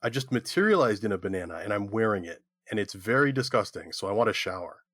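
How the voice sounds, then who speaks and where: A man's voice: fairly low pitch, animated, fast, fairly bright tone, no echo, studio quality. A man playing a chatty, scheming gnome with a glib, matter-of-fact delivery in a comedic audio skit. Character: scheming, chatty male gnome stuck with editing.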